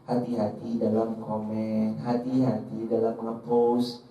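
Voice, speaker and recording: Mellow-voiced male preacher in echoey room. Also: mid-range voice, completely flat delivery, measured pace, a little hiss or hum. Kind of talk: sermon to an audience, quoting and translating Arabic scripture. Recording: roomy echo, distant mic, slightly dull.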